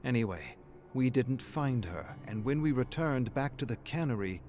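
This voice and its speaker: An actor playing a grim radio broadcaster in a game's audio drama, reporting horrors in a grave, halting and foreboding way. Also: male voice, mid-range voice, expressive, darkish tone, soft background music, natural voice. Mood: haunted, grim.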